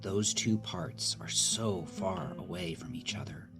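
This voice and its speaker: Male narrator for dramatic audio fiction, very deep voice, plucked guitar music underneath. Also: takes it slowly.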